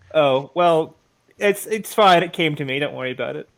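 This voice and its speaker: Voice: medium pitch, vocal fry. A tabletop player chatting casually and playing a character in an offhand way on an online call. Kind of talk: casual game chat and light role-play. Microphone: online group call.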